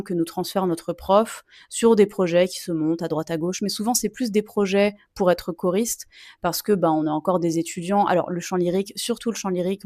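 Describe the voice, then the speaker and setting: A woman's voice: on the low side, darkish tone, no room sound, clean studio sound. A young woman, a mezzo-soprano student, telling a personal story to camera in a chatty way with lots of asides and fillers. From Sicilian heritage.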